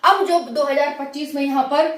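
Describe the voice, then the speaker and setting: A woman's voice: high voice, steady tone, brisk, reverberant. A woman hosting an informal explainer for viewers, conversational and often checking in with rhetorical questions. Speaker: host of a government exam news channel.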